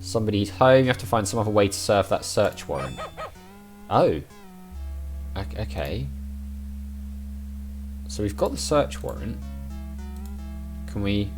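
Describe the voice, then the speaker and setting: Croaky man playing a game. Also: measured pace, pauses often, faint music behind. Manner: chatty, thinking aloud, lively pitch. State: croaky voice, recovering from overuse. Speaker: let's play gamer. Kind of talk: casual game commentary.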